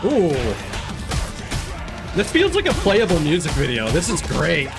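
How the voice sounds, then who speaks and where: A man's voice: high-pitched, huge pitch swings, a little slow, gravelly. A man giving casual live commentary as he streams a video game. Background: game music.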